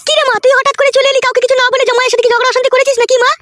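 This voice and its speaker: A woman puts on a bossy old village mother for a comedy cartoon. The voice is extremely high and races along, brusque. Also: level tone, rapid-fire, fairly bright tone, dry recording. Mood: motherly, reassuring. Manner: scolding, brusque. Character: foul-mouthed, bossy elderly village wife and mother.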